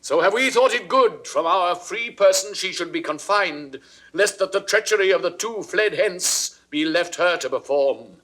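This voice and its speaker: A man's voice: high voice, lively intonation, moderate pace, a bit bright. An actor playing a jealous, imperious king in declamatory, haughty Shakespearean audio drama. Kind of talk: classical Shakespearean stage acting.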